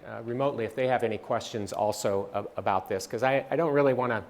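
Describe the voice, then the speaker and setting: A man presenting slides at a training session, speaking haltingly with many fillers and restarts. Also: mid-range voice, slight background noise.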